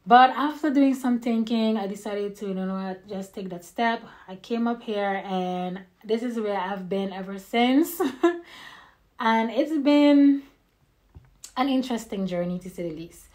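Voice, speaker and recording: A woman's voice: fairly high pitch, a little slow, a little mellow. A young woman from Haiti, a vlogger chatting to camera in a casual, open and personal way, a little nervous, with small laughs. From Haiti, raised in the Caribbean. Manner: nervous, by her own account. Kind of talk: casual get-to-know-me chat to camera.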